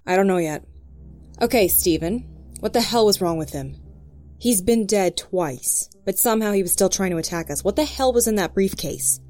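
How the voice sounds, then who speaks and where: A woman's voice: medium pitch, normal speed, darkish tone, close to an everyday voice. An actress playing a tough, short-tempered female FBI agent in an audio drama, brusque and authoritative. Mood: tense, demanding.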